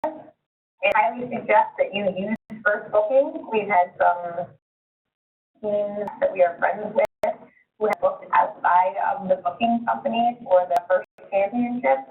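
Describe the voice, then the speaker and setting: A woman's voice: mid-range voice, unhurried. A woman presenting practical information informally on a conference call, explaining and advising in a conversational way. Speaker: conference call presenter, robotics team mentor. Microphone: phone conference call. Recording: muffled phone-line conference call, very distant.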